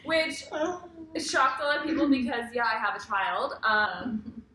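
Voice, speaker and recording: Casual American female vlogger, late twenties, bright forceful tone, joking manner, roomy sound with faint noise. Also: fairly high pitch, lively intonation, unhurried. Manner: joking, informal, teasing. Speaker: vlogger, co-host of couple's Q&A. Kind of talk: casual chat, Q&A. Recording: echoey room, faint background noise.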